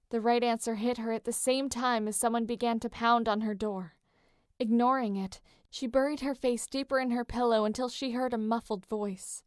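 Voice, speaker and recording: A woman narrator reads fiction in a dramatic style. Her voice sits fairly high, and the recording is dry and free of room sound. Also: dry recording. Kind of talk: dramatic scripted story narration.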